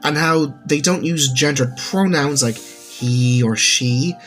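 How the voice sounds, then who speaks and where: A man's voice: medium pitch, slow, fairly bright tone. A man voicing an awkward, easily flustered young woman who stammers and hesitates in a narrated story. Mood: awkward explaining. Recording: music under the voice.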